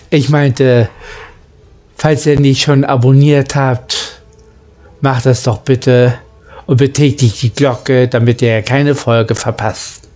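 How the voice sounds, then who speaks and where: Slow-paced man hosting a let's play. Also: mid-range voice, fairly even intonation, darkish tone. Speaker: gaming channel host. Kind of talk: casual let's play commentary, reading dialogue aloud.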